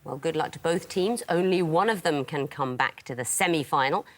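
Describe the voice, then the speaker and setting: A woman's voice: deep voice, moderate pace. A woman hosting a studio quiz show, delivering scripted lines with a dry, teasing edge. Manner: arch, teasing, crisp delivery. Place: television studio.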